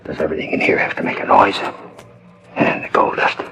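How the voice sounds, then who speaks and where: An actor playing a sneaking masked burglar in 1940s radio style, muttering and whispering in folksy American speech. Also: male voice, a little fast, pushed and bright, close to an everyday voice. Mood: furtive, tense. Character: sneaking masked male burglar, muttering, jittery, rough-spoken. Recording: old 1940s radio transcription disc.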